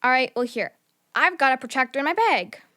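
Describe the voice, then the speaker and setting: An actress voicing a spirited, curious young girl with a direct, expressive manner in a children's audio drama. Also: high voice, fairly quick. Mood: friendly, wry.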